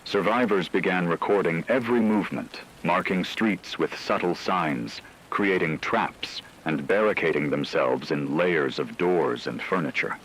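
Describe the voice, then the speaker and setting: A man reading scripted apocalyptic horror narration in a measured, solemn, ominous way. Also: low-pitched, fairly bright tone, a little hiss or hum, poor audio.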